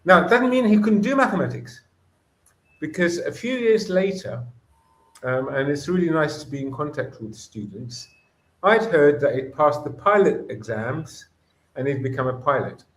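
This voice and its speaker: A man's voice: a little high, lively intonation, normal speed. Passionate man in an echoey room. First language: Greek. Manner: earnest, passionate, with frequent restarts. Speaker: guest educator, former school principal. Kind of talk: conversational discussion.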